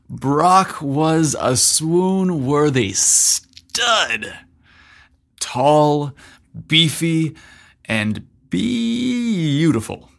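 Guy hosting a story podcast, reads real slow, mid pitch, leans on words for emphasis. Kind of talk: scripted story narration.

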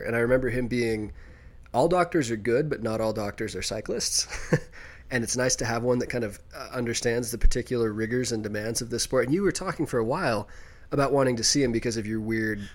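A young man co-hosting a casual podcast chat, loud and rambling, with quick restarts. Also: mid-range voice. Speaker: podcast co-host.

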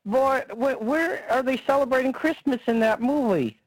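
A man voicing a loud, blustery Texan caller with a Texan accent, brash and folksy, calling people boy. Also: extremely high pitch, normal speed, no echo, poor audio.

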